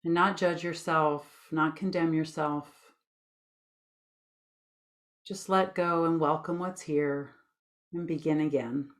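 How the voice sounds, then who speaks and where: Woman w/ a lowish voice doing a guided meditation, soothing n unhurried, kinda informal. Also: steady tone. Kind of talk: guided meditation, gentle spoken instruction.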